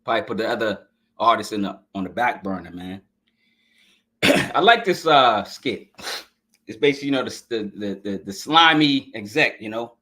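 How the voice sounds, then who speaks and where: A man's voice: lowish voice, lively intonation, moderate pace, sharp tone. Guy hosting a podcast, hyped and slangy, voice kinda scratchy today, talks right to the viewers. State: scratchy voice this morning. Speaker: podcast host reviewing an album. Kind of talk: casual track-by-track review. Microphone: live stream podcast mic.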